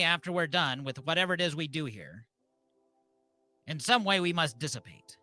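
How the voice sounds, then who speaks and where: A man hosting a comedy ceremony like a mock-solemn preacher, deadpan, speaking in deliberate short phrases. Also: fairly high pitch. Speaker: host and mock officiant of a comedy live show.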